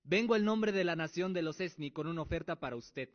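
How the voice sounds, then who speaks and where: A man's voice: fairly high pitch, brisk, lightly acted. A man playing a deferential envoy of a barbarian nation in a radio drama, formal and ceremonious. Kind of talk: Spanish-language radio drama acting. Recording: dull lo-fi audio.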